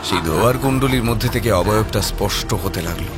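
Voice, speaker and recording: A man's voice: on the low side, fast, over loud music. A man narrating a scripted horror story in a theatrical, suspenseful manner.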